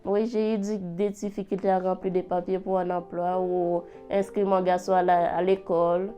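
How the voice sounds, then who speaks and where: Mellow-voiced woman speaking French as a second language. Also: fairly low pitch, flat delivery, normal speed, soft background music. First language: not French. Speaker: adult literacy learner. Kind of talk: personal testimony. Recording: dull lo-fi recording.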